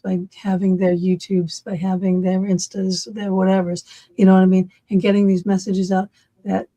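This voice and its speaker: A woman's voice: medium pitch, mellow. A middle-aged woman from Massachusetts with a Massachusetts accent, chatting casually and animatedly, rambling with many fillers. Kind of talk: casual chat.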